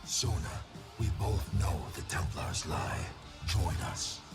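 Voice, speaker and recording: A man voicing a cold, contemptuous cosmic warlord villain in a video game, taunting deliberately as one who speaks for many. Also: deep voice, expressive, over music. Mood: cold contempt. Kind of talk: video game character voice lines.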